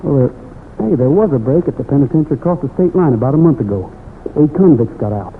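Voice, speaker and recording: An actor playing a confident young cowboy hero in an old radio western, American accent, plainspoken and take-charge. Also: male voice, medium pitch, animated, quick pace, lightly acted. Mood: alert. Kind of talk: 1940s-50s radio western acting. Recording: noisy, tinny old radio recording.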